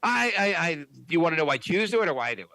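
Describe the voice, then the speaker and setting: A man hosting a podcast, chatting casually, animated and swearing often with many restarts. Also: fairly high pitch, rapid-fire, no echo. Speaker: podcast host. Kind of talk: casual chat.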